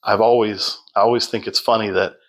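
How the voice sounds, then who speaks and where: A man telling his story as a podcast guest in a loud, projecting voice, unhurried, with many fillers and restarts. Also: on the low side, fairly even intonation. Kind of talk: casual storytelling.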